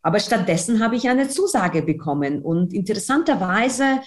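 A woman's voice: medium pitch, animated, normal speed. A woman speaking German as a second language with a foreign accent, giving a personal account in an interview and repeating words for emphasis. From outside Germany. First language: not German. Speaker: interview guest, newspaper editor and trainer of trainees.

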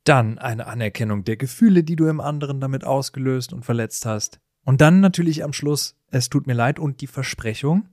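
A man's voice: mid-range voice, a little fast, hardly any pauses, a little mellow, no echo. Casual male podcast co-host, dry sound.

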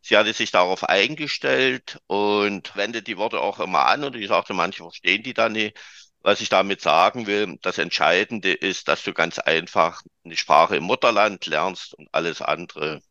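A man hosts an informative podcast in a chatty way, often adding stories. His voice is a bit low but bright and forceful. The recording is dry and dull, with a lo-fi edge. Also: moderate pace, no room sound. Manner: chatty, tells anecdotes. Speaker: podcast host. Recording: dull lo-fi sound.

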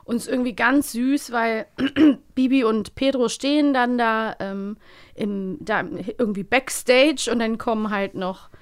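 Woman with a highish chatty voice. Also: fairly even intonation, unhurried, clear, resonant tone. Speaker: podcast co-host. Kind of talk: casual chat.

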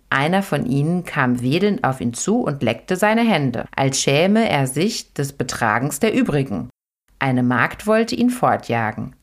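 A woman podcast host reading an old folk tale aloud in a lively, expressive storytelling manner. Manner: lively, expressive read-aloud with sudden high lifts. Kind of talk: storybook narration.

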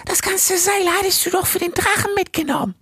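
A tiny, pert lady rat from an animal audiobook, performed by a man in falsetto. The voice is extremely high and squeaky, captured very dry. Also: brisk, pushed and bright, no room sound, heavily acted. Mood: protesting. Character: squeaky little female rat assistant.

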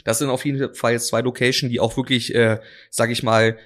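A man's voice: medium pitch, little pitch movement, a little fast, fairly bright tone. Chatty man rambling with fillers. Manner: rambling, many fillers and restarts. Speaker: drinks brand ambassador, guest. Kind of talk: casual interview chat.

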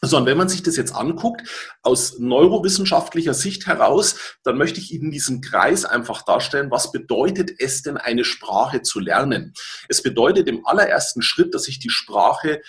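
A man's voice: mid-range voice, expressive, normal speed, a bit bright. A man, a native German speaker, presenting an explanatory webinar as a language-learning coach in a didactic way, repeating key words and checking in with listeners.

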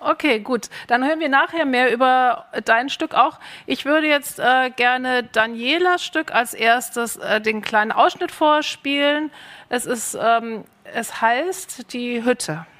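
A woman's voice: sits high, slow pace, fairly bright tone. Woman moderating a panel. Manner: friendly, inviting the audience to join in. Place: panel stage at an arts festival. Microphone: handheld microphone.

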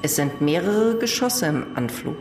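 A woman voicing a calm spaceship computer making announcements in a science fiction audio drama. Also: lowish voice, moderate pace, music in the background, natural voice. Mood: matter-of-fact alert.

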